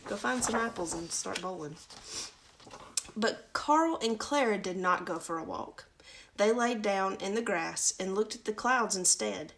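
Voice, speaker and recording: A woman's voice: medium pitch, animated, a little slow, gravelly, a bit bright, slight background noise. A woman reading a children's storybook aloud in a casual live session, breaking off for chatty asides.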